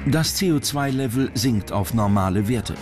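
A male narrator delivers scripted documentary voice-over at a middle pitch. Music plays clearly behind his voice throughout. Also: expressive, normal speed.

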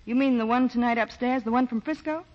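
An actress playing a worldly, hard-boiled con artist, secretly lovelorn, in the style of 1940s radio drama. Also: female voice, a little high, fast talker, natural voice. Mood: guarded, brisk. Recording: muffled 1940s radio broadcast.

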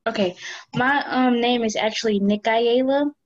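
A woman's voice: on the high side, moderate pace. A woman student from North Carolina, introducing herself unsure and hesitant. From North Carolina, USA. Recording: muffled video call audio.

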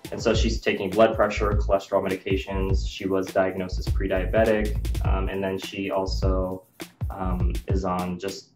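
Deep-voiced American man in an echoey room. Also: level tone, moderate pace. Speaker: video host and health business owner. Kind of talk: casual personal storytelling. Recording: roomy echo with music behind.

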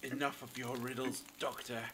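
An actor in an audio drama playing a hostile, suspicious captor who is contemptuous and threatening. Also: male voice, mid-range voice, flat delivery, moderate pace, pushed and bright, a little hiss or hum, lightly acted. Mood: cold suspicion. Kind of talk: fan audio drama acting.

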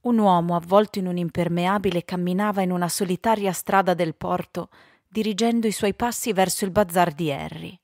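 A woman's voice: lowish voice, fairly even intonation, brisk, darkish tone, no echo. Woman narrating a storybook, dry close sound. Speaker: audiobook narrator.

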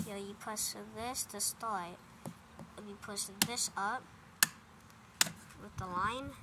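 A young girl filming a casual phone vlog, rambling and apologizing to her viewers as she shows off a toy car in a garage. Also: medium pitch. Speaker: vlogger showing a toy ride-on car. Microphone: handheld phone held close. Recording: loud background noise.

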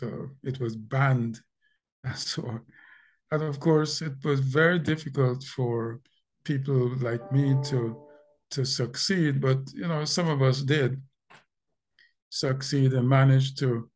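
Slow-spoken older Guyanese man. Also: mid-range voice, darkish tone. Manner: slow, thoughtful, with frequent pauses and repeated words. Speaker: linguist guest. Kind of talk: video call interview. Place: home room indoors. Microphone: video call webcam.

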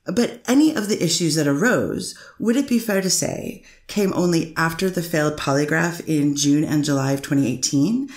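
A woman's voice: low voice, moderate pace, few pauses, darkish tone, roomy sound, lightly acted. A woman reading the part of a defense attorney cross-examining a witness, probing with frequent fillers. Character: persistent female defense lawyer. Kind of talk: amateur readers theater reading of a court transcript.

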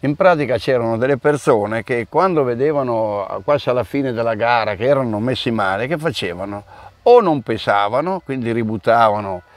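A man's voice: mid-range voice, expressive, normal speed, no echo. A middle-aged man, the event organizer, explaining at length in an outdoor interview with frequent restarts. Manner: hesitant, restarts often, storytelling. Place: outdoor park by a car park.

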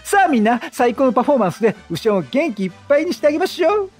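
A man's voice: very high-pitched, very animated, racing, darkish tone, soft background music, voice pushed up, exaggerated voice. A man voicing a flamboyant, motherly game entertainer with feminine wording and confident reassurance. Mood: upbeat.

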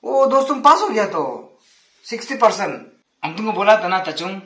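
High-pitched carefree young student played by a man. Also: expressive, brisk, fairly bright tone, distant mic. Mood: boastful. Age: 20s. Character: carefree, boastful young male student roommate. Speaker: actor in an amateur short film. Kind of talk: amateur comedy skit dialogue. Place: bare indoor rooms. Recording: roomy, distinctly noisy amateur camera audio.

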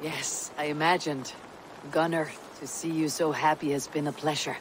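An actress playing a steady, commanding female Viking chieftain in a video game, speaking in a measured, stately way. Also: deep voice, noisy background, natural voice. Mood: warm, amused. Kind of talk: dramatic video game acting.

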